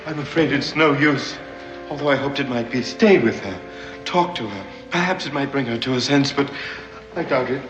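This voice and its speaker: An actor with an English accent playing a theatrical, declamatory zealot who serves a sun god. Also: male voice, medium pitch, normal speed, music in the background, natural voice. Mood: cold, condescending. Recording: echoey old TV soundtrack with score.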